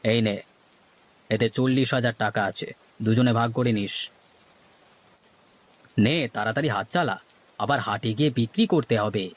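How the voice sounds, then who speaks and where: A man's voice: mid-range voice, moderate pace, pauses often, natural voice. A man voicing a shrewd, scheming village businessman in a cartoon, bossy and self-satisfied. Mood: commanding. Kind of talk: Bengali cartoon voice acting.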